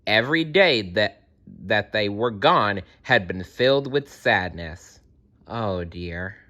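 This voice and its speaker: A man tells a children's fairy tale in a bright, animated voice. His pitch moves around a lot, and he reads playfully, reacting to the story as he goes. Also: slow pace. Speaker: storyteller reading aloud. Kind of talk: expressive fairy-tale read-aloud with asides.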